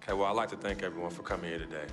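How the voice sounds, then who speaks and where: A man's voice: low voice, steady tone, racing, over music, natural voice. An actor playing an earnest young male basketball star in a video game cutscene, laid-back with his family and formal at a press conference. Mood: formal speech. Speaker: pro basketball player.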